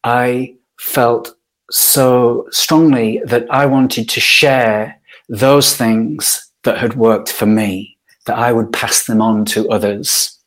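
A middle-aged man from Yorkshire, speaking as a podcast guest and sharing his life story in a thoughtful, unhurried way with many pauses. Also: medium pitch, steady tone. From Yorkshire, northern England. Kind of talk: reflective personal storytelling.

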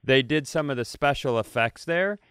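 A man co-hosting a comedy podcast, rambling deadpan through offbeat questions in casual chat. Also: medium pitch, moderate pace, smooth, no room sound, studio quality. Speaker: podcast co-host.

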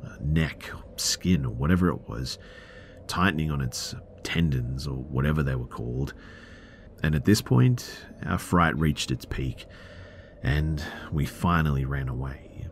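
A man reading a first-person horror story aloud in a hushed, drawn-out dramatic delivery, dropping into a whisper at times. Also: rumbling low, a little hiss or hum. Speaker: narrator. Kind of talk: scripted horror story narration.

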